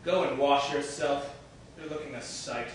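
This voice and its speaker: An actor playing a domineering, cruel old king, with a theatrical delivery that swings between booming shouts and low, menacing mutters. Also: moderate pace, natural voice. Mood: scheming. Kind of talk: stage drama acting. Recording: echoey, distant stage recording with faint noise.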